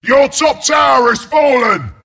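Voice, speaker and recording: An actor voicing a mean, cocky porcupine beast as a video game announcer, with a British accent, a gravelly rasp and a rowdy, taunting, blunt delivery. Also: male voice, extremely high pitch, animated, a little slow, sharp tone, shouting, faint music behind, heavily acted. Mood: urgent, rowdy. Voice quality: gravelly, raspy. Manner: taunting, rowdy, blunt, mock-sympathetic asides.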